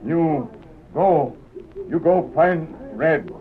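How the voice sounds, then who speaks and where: Slow, halting wounded loyal companion. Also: male voice, a little high, a little hiss or hum. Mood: pained, resolute. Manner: slow, halting broken English. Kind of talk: old-time radio western acting. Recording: tinny narrow-band old radio recording.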